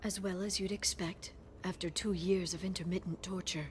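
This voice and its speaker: A woman playing a gentle, scholarly alien information broker in a video game, speaking softly and earnestly. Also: on the low side, steady tone, normal speed, slight background noise, natural voice. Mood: hesitant, tender.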